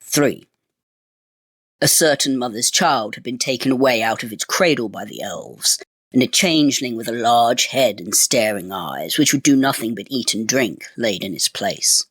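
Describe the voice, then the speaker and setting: A male storyteller reads scripted fairy-tale narration. His mid-pitched voice moves up and down with plenty of expression, phrased in measured steps, and the sound is dry and close. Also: animated, a bit bright, slightly rough, no echo.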